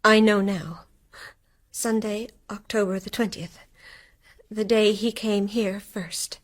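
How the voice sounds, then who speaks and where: An actress in a radio drama playing an anxious, stricken wife who speaks in a hushed, intense way. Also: female voice, mid-range voice, fairly even intonation, slow, close to an everyday voice. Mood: hushed dread. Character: anxious, stricken wife uncovering a ghostly truth.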